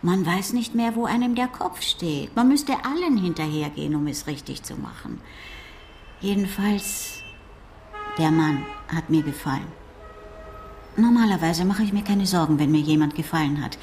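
An actress playing a curious, impulsive oceanographer in a radio crime drama, musing and self-assured in conversation. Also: female voice, fairly low pitch, expressive, moderate pace, a little mellow, slight background noise. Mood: frank, musing.

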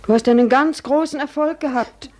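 An actress playing a bossy, scolding female attendant, brisk and reproachful, in 1950s radio drama style. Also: high-pitched, darkish tone, close to an everyday voice.

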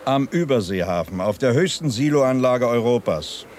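A man's voice: mid-range voice, expressive, moderate pace, a little mellow, lightly acted. A male facility guide played by an actor speaks in a middle register over faint outdoor noise. He is factual and unruffled, explaining like a tour guide in a radio drama and sidestepping what he dislikes. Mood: businesslike. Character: matter-of-fact, evasive male silo facility guide.

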